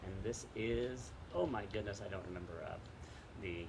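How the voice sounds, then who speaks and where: A middle-aged man with a lowish voice talks casually about books as a teacher would. He pauses often mid-sentence, and wind blows clearly over the outdoor recording. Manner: halting, pausing mid-sentence. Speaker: teacher and read-aloud co-host. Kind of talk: casual book recommendations and reading aloud. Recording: wind noise on the microphone.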